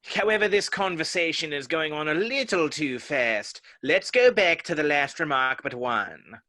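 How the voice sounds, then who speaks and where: A man's voice: fairly high pitch, expressive, moderate pace, sharp tone. A man playing a haughty, touchy, pedantic egg character in a dramatic reading of a children's classic. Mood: pompous, contradicting.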